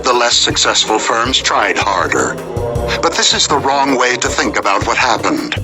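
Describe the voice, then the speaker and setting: A man reading nonfiction as an audiobook narrator in a measured, articulate, emphatic delivery. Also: mid-range voice, very animated, music in the background.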